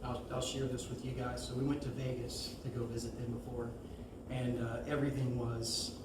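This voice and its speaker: A man giving an informal eulogy at a memorial, speaking hesitantly with frequent pauses. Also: mid-range voice, flat delivery. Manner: hesitant, pausing often. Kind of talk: informal memorial tribute, halting. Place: large room at a memorial service. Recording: echoey, noisy room, far from microphone.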